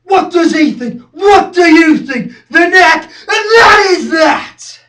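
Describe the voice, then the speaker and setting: A young skinhead lad, intense stage monologue, slow and repetitive, confrontational, far from the mic in an echoey room. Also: male voice, high voice, lively intonation, background noise. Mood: fierce, insistent. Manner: obsessive, confrontational, repetitive, swinging from hushed to shouting. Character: intense young male skinhead street fighter reliving his violent past. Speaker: actor performing a stage monologue. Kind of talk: theatrical dramatic monologue, direct address. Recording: roomy echo, distant microphone.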